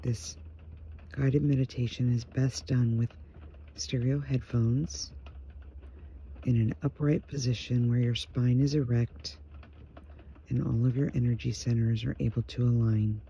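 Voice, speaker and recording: Slow, gravelly, mellow man guiding meditation. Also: mid-range voice, steady tone. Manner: gentle, hushed, unhurried pauses between phrases. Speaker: meditation guide. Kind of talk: guided meditation, slow soothing narration. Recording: muffled, dull home recording with faint noise.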